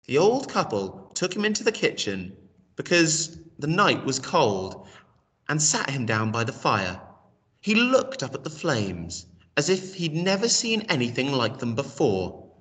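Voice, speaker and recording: Male story reader, expressive, highly animated pitch, bright tone, a little off-mic. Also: measured pace, recorded from a distance. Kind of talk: expressive children's story reading.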